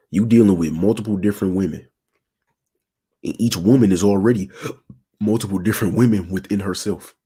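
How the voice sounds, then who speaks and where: A young man in his twenties with a low, raspy voice, a little strained from a sore throat. He talks to his livestream viewers in loose, slangy asides and keeps checking they follow. His tone is dark and mellow. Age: 20s. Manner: slangy, keeps asking "you feel me". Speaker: channel host. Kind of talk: casual livestream talk to viewers.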